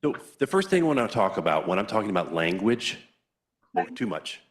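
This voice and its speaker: A man's voice: on the low side, big pitch swings, brisk, very noisy. A man co-presenting at a support group, speaking with casual, conversational asides.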